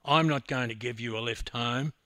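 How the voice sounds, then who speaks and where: A man's voice: medium pitch, moderate pace, bright, forceful tone, dry recording, lightly acted. A man voicing young squad swimmers who taunt and jeer in short, punchy retorts within a told story.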